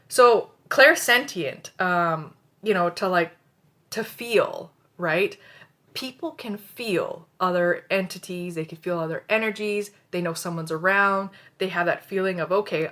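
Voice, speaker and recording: A woman's voice: mid-range voice, a bit bright. A middle-aged woman from Canada whose first language is Hindi, teaching about psychic senses in a loose, rambling way, with lots of fillers, restarts and tag questions. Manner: rambling, many fillers and restarts, frequent "right?". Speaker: spiritual teacher. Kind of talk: casual spoken teaching.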